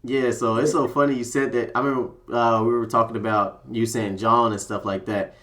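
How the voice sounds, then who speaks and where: A man from Louisville with a Kentucky accent speaks in an easy, relaxed way as he interviews his guest over a video call. His voice sits at an ordinary middle pitch for a man. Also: fairly quick. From Louisville, Kentucky, USA. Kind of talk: casual conversation on a video call.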